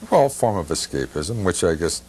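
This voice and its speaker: An older man hosting a casual nostalgic chat, agreeable and halting, often chiming in. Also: sits low, sing-song, a little mellow, slight background noise. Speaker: talk show host. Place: television studio.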